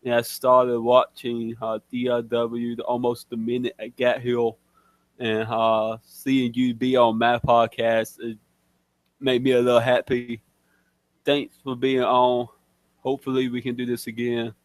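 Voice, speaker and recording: A young man hosting a casual podcast, speaking haltingly with many fillers. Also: medium pitch, monotone, no echo. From Mississippi. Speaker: podcast host. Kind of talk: casual chat.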